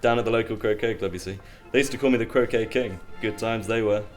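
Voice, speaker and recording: A man acting a well-off, boastful, stern middle-aged uncle in a radio drama, with a pompous, measured way of talking. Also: on the low side, steady tone, faint music behind, natural voice. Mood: boastful, jovial.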